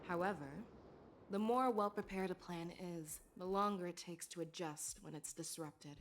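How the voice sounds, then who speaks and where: A woman voicing a composed, shrewd general in a video game, with a measured, commanding, analytical manner. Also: on the low side, slight background noise, lightly acted. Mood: calm, calculating.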